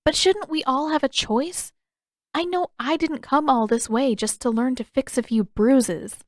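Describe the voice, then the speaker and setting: Earnest, headstrong teenage girl, high voice, acted by a woman. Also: unhurried, dry recording, studio quality. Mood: frustrated, headstrong. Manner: pressing, candid, questioning. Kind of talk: audiobook character dialogue.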